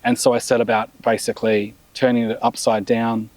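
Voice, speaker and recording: Relaxed businessman, dry close sound. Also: mid-range voice, brisk, no room sound, low-quality recording. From Australia. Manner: relaxed, rambling with many restarts and fillers. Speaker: brand founder and CEO. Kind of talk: casual interview.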